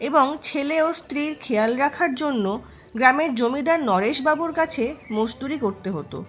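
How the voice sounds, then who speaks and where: High-pitched woman narrating a folk tale. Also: fairly bright tone. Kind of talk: scripted storybook narration.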